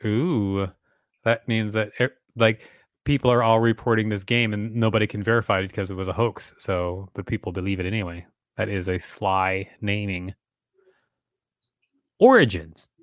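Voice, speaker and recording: A man's voice: lowish voice, steady tone, moderate pace, no echo, studio quality. Male podcast co-host reading and bantering. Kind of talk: article reading with casual banter.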